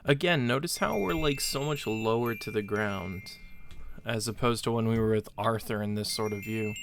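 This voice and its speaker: A man's voice: lowish voice, moderate pace, slight background noise. A man casually commentating a video game as he plays, thinking aloud and restarting his sentences. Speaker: gameplay commentator. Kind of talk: casual let's play commentary.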